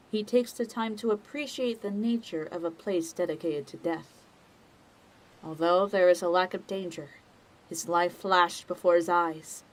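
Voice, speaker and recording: A woman giving scripted dramatic narration as the narrator of a story, in a measured, deliberate manner. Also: lowish voice, poor audio.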